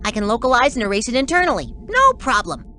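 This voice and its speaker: A woman voicing an excitable, theatrical robot helper in an educational cartoon game. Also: a little high, quick pace, a little hiss or hum. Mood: matter-of-fact. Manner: theatrical, exclamatory. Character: excitable, melodramatic robot helper of young spies.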